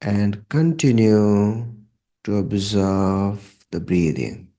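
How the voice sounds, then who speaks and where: A man leading a guided meditation in a calm, measured manner, leaving long pauses between short phrases. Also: on the low side.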